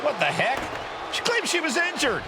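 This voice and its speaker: A man voicing a wrestling play-by-play announcer who makes excited calls. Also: extremely high pitch, moderate pace, heavily acted. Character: energetic male wrestling announcer. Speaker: play-by-play commentator. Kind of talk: wrestling commentary. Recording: loud crowd and arena noise.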